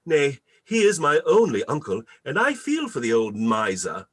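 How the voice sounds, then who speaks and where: Man playing a hearty, husky, animated young nephew. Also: medium pitch, normal speed. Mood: fond, pitying. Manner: theatrical, hearty. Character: jolly, forgiving young nephew, laughs at uncle. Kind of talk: radio play acting, live reading.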